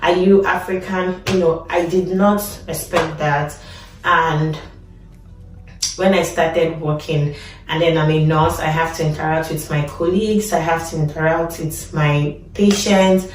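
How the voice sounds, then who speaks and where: A woman's voice: low-pitched, steady tone, measured pace. A woman with a Nigerian accent, a nurse giving advice in a casual vlog, talking conversationally and repeating words for emphasis. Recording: echoey room, distant from mic.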